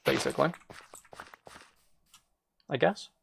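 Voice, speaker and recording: A man with a creaky, mid-pitched voice talks casually through his gameplay. He rambles as he thinks aloud, with a playful, self-mocking streak. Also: slow, background noise.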